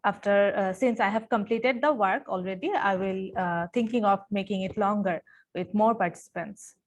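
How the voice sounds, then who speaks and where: A woman's voice: mid-range voice, moderate pace, highs cut off. A young woman from Bangladesh, a conference presenter answering politely and briefly on a video call. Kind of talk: casual exchange on a video call.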